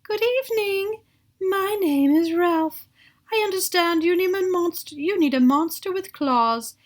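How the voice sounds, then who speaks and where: Woman as a high, silky, prim monster. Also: animated, a little slow. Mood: courteous. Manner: formal, polite. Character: prim, well-groomed male monster, polite and professional.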